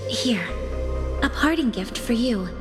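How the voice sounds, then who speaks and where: Slow, echoing mysterious female messenger. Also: fairly high pitch, lively intonation. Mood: gently solemn. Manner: measured, solemn, formal. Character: mysterious, serene female messenger, protective and solemn. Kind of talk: theatrical game voice acting. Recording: long reverb, music behind the voice. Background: game music.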